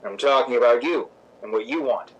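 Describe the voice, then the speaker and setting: An actor playing a wry, candid, self-assured stage director in a radio drama, speaking plainly. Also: male voice, medium pitch, brisk, lightly acted. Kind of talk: old-time radio drama acting. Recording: slightly dull, lo-fi sound.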